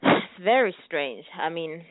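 Low-voiced woman from Sweden, hesitant and reflective. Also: lively intonation, a little slow, no echo, narrow, tinny audio. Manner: hesitant, searching for words. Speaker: interview guest, musician. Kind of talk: reflective conversational interview.

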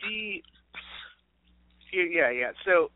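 Joking male podcast co-host, mid-pitched, tinny dry phone-like audio. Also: lively intonation, drawn-out words, no echo. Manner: teasing, joking. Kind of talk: casual sports podcast chat.

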